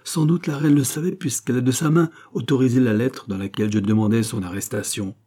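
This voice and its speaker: A man's voice: mid-range voice, fairly even intonation, brisk, mellow, lightly acted. A man voicing a defiant countess. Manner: terse, defiant denials. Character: proud frightened noblewoman, a countess.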